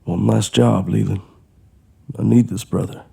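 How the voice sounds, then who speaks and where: A man's voice: fairly low pitch, fairly even intonation, measured pace, gravelly, warm tone, breathy edge, full character voice. A man playing a bitter, desperate ex-convict father who talks in terse, blunt lines in an audio drama. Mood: urgent. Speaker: former criminal and father. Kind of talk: amateur audio drama acting.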